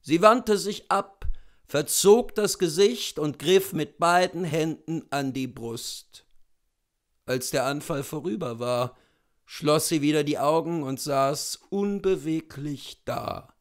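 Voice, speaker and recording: Slow, high-voiced man reading literature aloud. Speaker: narrator. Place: small indoor room lined with bookshelves.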